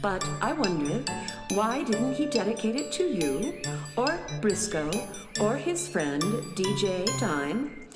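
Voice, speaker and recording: A woman playing a gentle, literal-minded starship android who explains things in measured phrases in a children's radio play. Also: medium pitch, sing-song. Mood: pleasant, naive. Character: gentle, matter-of-fact female planet-powering starship android. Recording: echoey hall reverb, music behind.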